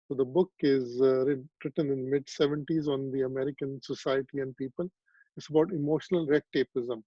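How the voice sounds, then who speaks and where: A man's voice: on the high side, dry recording, highs cut off. A man, telling his story reflectively in an interview over a video call, with many ums and restarts. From Pakistan. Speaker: psychiatrist guest.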